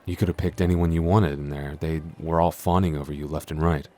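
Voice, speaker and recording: Man playing a very deep-voiced, shy giant. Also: steady tone, a little fast, warm tone. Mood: self-deprecating. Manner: hesitant, stammering, apologetic. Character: shy, apologetic, clumsy young male giant. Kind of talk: intimate roleplay audio acting.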